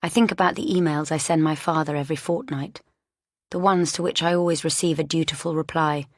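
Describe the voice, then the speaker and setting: Lowish female audiobook narrator, very dry sound. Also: steady tone, brisk, no echo. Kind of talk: scripted first-person thriller narration.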